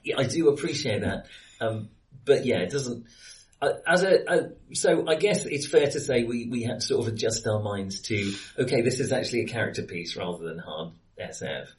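A man's voice: medium pitch, animated, moderate pace, recorded from a distance, low-quality recording. A man co-hosting a casual film discussion, feeling his way through his opinions with hesitant, self-correcting speech. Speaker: podcast co-host.

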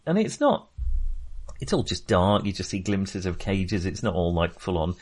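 A man with a fairly low voice talks casually about film as a podcast co-host. He rambles, hunting for the right word and cutting across his own sentences. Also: big pitch swings, normal speed. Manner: rambling, searching for words, self-interrupting.

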